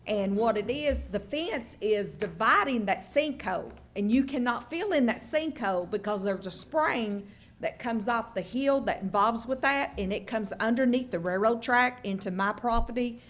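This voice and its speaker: A woman, a local resident objecting at a county meeting, plain-spoken and rambling. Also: mid-range voice. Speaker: resident speaking in opposition. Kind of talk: public comment at a county meeting.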